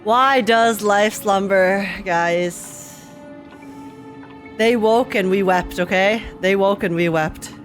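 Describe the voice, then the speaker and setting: A woman streamer giving casual live reactions to a game in an excitable, playful, exclamatory way. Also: mid-range voice, slow pace. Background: faint game music.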